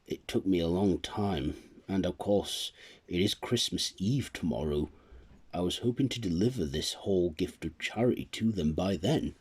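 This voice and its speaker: A man's voice: deep voice, normal speed, a little mellow, breathy edge. A man voicing a jolly, generous man who helps his poor village and laughs "ho, ho", speaking eagerly and heartily.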